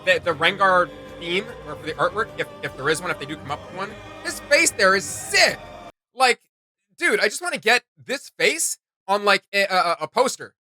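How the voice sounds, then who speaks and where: A man giving casual, enthusiastic reaction commentary as a video host, in an excitable, slangy and emphatic way. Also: sits high, normal speed, soft background music.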